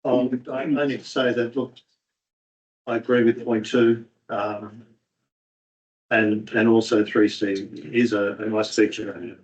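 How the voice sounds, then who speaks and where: A man councillor giving brief, halting support in a meeting. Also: lowish voice, little pitch movement. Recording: dull lo-fi meeting audio.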